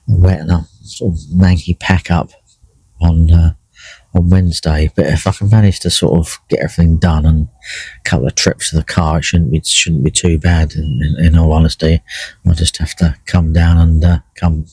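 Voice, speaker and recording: A middle-aged man with a croaky voice, chatting casually by himself while out fishing, with lots of fillers and restarts. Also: deep voice, moderate pace, no echo, rough recording. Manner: relaxed, rambling, many fillers and restarts. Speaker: carp angler vlogging a session. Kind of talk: casual solo vlog commentary. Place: in a fishing bivvy by a lake.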